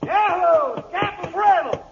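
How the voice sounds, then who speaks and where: A dull, slightly noisy old radio recording of an eager cavalry sergeant. His voice is pitched extremely high, in the style of vintage radio drama. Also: expressive, slow, full character voice. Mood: eager, hollering. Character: eager cavalry sergeant rider carrying a message.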